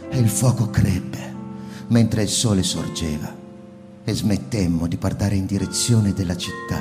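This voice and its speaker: An actor playing a shaken male survivor of a city bombing, who narrates a radio drama and either cries out his memories urgently or speaks in a hushed, slow voice. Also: on the low side, close to an everyday voice. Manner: urgent, near-shouted remembering, then hushed and slow. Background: music.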